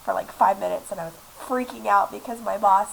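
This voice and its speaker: Congested young woman with a gravelly voice. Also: medium pitch, a little fast, fairly bright tone. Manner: chatty, rambling with many fillers. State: sick, congested with a cough. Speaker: vlogger. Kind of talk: casual chat to camera. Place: small apartment room indoors. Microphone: laptop webcam mic. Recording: lo-fi webcam audio with faint hiss.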